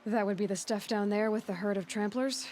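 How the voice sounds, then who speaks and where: A woman playing a young, observant huntress in a video game, speaking in a measured, low-key way. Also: steady tone, a little mellow, a little hiss or hum, close to an everyday voice. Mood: calm questioning. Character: young female hunter, observant, calm, tracking alone. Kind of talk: video game dialogue, musing to herself.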